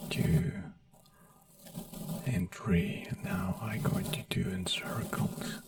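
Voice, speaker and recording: An ASMR creator in a doctor roleplay, whispering softly in slow, soothing, simple reassuring phrases. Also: medium pitch, very animated, creaky, no echo, recorded from a distance.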